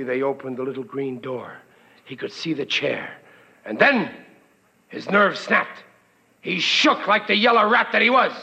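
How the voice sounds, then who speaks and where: A blustering New family man from an old radio comedy. His voice is fairly high and bright, slangy and melodramatic. The recording is dull and lo-fi. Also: full character voice. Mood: worked-up, scornful. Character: blustering, dim, working-class American family man and father. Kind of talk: 1940s radio comedy acting.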